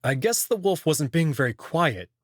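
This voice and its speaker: A man's voice: fairly high pitch, sing-song, moderate pace, no echo, studio quality. A young man reading scripted first-person narration for a visual novel as its protagonist.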